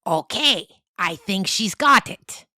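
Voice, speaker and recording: A woman's voice: medium pitch, animated, slow pace, pushed and bright, no echo, studio quality, full character voice. A woman voicing an exasperated, put-upon little ghost cat in an audio story, emphatic and complaining. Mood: weary, exasperated.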